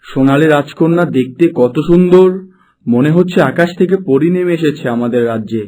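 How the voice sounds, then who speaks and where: A man's voice: on the high side, brisk, warm tone, natural voice. A man voicing an admiring, gossiping palace servant in a cartoon fairy tale, with a chatty manner.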